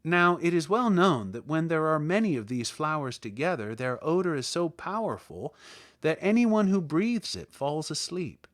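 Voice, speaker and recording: A man reading a children's story as an expressive audiobook narrator. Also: a little high, moderate pace.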